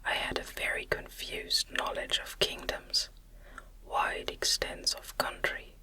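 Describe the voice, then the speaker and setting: A woman reading a gothic novel as ASMR, in a gentle, breathy, unvoiced whisper with a measured delivery. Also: poor audio. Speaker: audiobook narrator.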